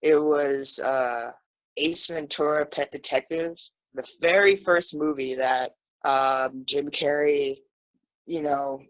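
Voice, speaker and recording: A woman's voice: low voice, takes it slowly, rough recording. A woman in her thirties from Brazil, an English teacher, chatting casually about a comedy film on a video call. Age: 30s. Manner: relaxed, chatty, lots of "you know". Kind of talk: casual storytelling on a livestream.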